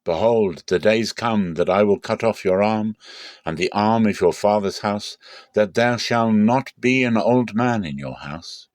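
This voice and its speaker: A man voicing a stern prophet delivering divine judgment in a dramatic scripture reading, solemn, forceful and declamatory. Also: lowish voice, a little slow, dry recording, studio quality, voice pushed up, lightly acted. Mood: grave judgment.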